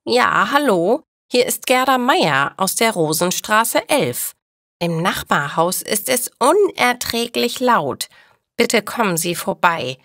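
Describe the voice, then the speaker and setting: Woman doing a fussy older lady neighbour, indignant n scolding, very animated, storybook style, dry close sound. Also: mid-range voice, slow pace, dry recording, pitched above the performer's own voice. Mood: urgent complaining. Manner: scolding, self-righteous.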